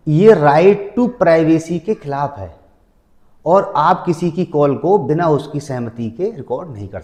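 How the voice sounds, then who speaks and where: A man's voice: a little high, a little mellow. A man presenting legal advice to viewers in a didactic way, repeating key phrases and numbering his points. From India. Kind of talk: explanatory legal talk to viewers.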